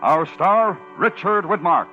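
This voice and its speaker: Higher-voiced 1950s radio announcer man over music. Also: lively intonation. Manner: theatrical, measured, portentous. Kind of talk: 1950s radio announcing, scripted dramatic introduction. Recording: dull 1950s radio, loud music behind.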